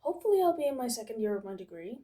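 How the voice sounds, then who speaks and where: A woman's voice: fairly high pitch, very animated, rapid-fire, mellow. A 22-year-old woman from England, chatting casually to camera as a vlogger, rambling and hesitant with many fillers.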